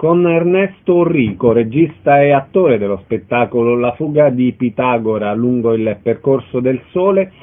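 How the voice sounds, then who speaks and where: Tinny-sounding male radio host. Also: mid-range voice. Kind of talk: radio interview.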